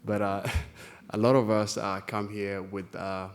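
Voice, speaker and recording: A man in his twenties from South Sudan, giving an informal personal talk on a conference stage with a hesitant, halting delivery. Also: lowish voice, flat delivery. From South Sudan, born in a Kenyan refugee camp. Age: 20s. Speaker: guest speaker, youth volunteer. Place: conference stage, live event.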